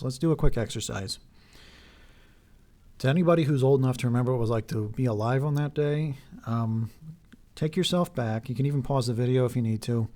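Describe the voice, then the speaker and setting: A man in his thirties hosting his own video, talking earnestly and haltingly with many fillers and restarts, his voice getting hoarse. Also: mid-range voice, mellow. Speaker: video host. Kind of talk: earnest talk to camera.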